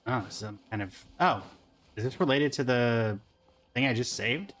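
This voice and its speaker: A man's voice: mid-range voice, unhurried, a bit bright, slight background noise. A man doing casual livestream game commentary, talking in short remarks to himself and to the characters on his screen.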